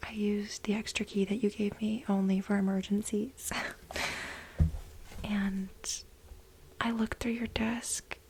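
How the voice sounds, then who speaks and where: A woman playing a clingy, unhinged millennial office assistant in a breathy, halting, soft-spoken ASMR role play. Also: medium pitch, level tone, a little hiss or hum. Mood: sheepish, confessing. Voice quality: breathy, whispery, creaky. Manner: halting, dramatic, self-justifying.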